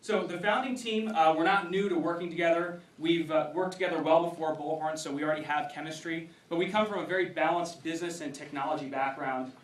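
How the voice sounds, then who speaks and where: A man presenting a pitch to a live audience as a startup founder, in an emphatic stage delivery. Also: steady tone, a little fast, few pauses. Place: indoor event venue, speaking to a crowd. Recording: roomy echoing event hall, distant.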